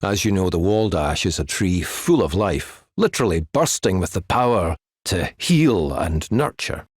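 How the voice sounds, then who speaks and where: Earnest Scottish wizard, low rough-edged voice, folksy measured explaining, weary, video game. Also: male voice, expressive, dry recording, clean studio sound. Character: earnest, weary male wizard, homely mentor figure. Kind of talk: video game cutscene acting.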